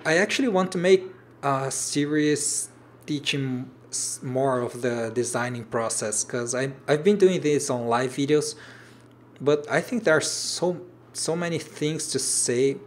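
A man's voice: mid-range voice, measured pace, slight background noise. A man in his thirties with a Brazilian Portuguese accent, Portuguese his native language, casually chatting on a home livestream and stopping often to search for English words. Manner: hesitant, restarts phrases while searching for English words. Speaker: origami designer hosting a livestream. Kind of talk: casual livestream chat reading viewer comments. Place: home room with shelves, indoors.

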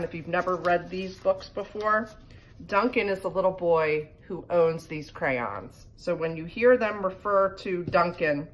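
Slow, low-voiced woman reading to children. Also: rough recording. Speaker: read-aloud reader for children. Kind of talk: children's picture book read-aloud with casual asides. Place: living room by a fireplace. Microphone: phone propped in front of her. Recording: home phone recording with background noise.

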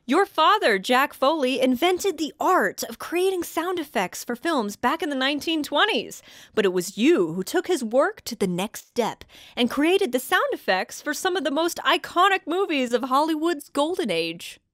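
An actress playing a polite, earnest classic-cinema interviewer in a comedy sketch, with a measured presenter's delivery. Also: female voice, high voice, sing-song, fairly bright tone, no room sound, clean studio sound. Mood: polite, admiring.

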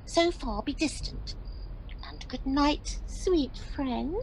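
An actress playing an affectionate, primly firm young maiden in a Shakespearean radio comedy. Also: female voice, high-pitched, animated, slow, a little hiss or hum, heavily acted, low-quality recording. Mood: fond, firm.

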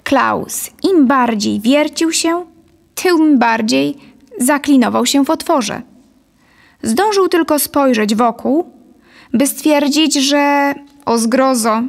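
A woman in her thirties narrating a scripted story deliberately and expressively into a close studio microphone. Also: sits high, creaky, a little mellow. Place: dim studio set, indoors. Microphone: studio condenser on a boom, close.

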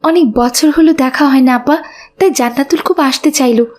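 A woman playing a humble, worried mother from a poor family in a children's cartoon, gentle and apologetic. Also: high-pitched, normal speed, close to an everyday voice.

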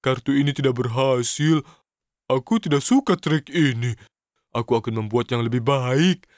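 A man voicing an excitable, good-natured cartoon cook in a children's show, with exaggerated, drawn-out exclamations. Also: medium pitch, no echo, close to an everyday voice. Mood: showy.

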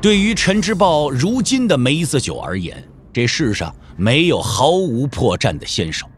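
A man's voice: mid-range voice, very animated, normal speed, slight background noise. A man narrating a wuxia audio drama as a scripted storyteller, with theatrical, suspenseful delivery. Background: background music.

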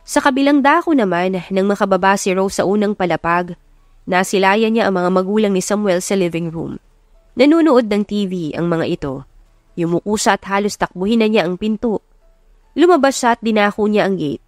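A woman's voice: mid-range voice, lively intonation, moderate pace, no echo, studio quality, soft background music. A woman with a Filipino accent reading scripted, dramatic story narration as an audiobook narrator. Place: close, dry recording with music behind.

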